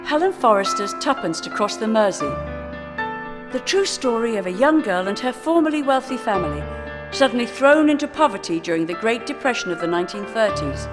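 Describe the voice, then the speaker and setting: Woman, slightly high voice, scripted promo narration, reverb, music behind. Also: very animated, fairly quick.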